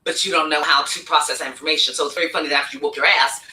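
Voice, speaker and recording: A woman's voice: sits low, steady tone, rapid-fire, noisy background. A woman hosting a casual podcast interview, chatty and curious, asking probing questions. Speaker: podcast host. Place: home studio. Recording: roomy, distant from the mic.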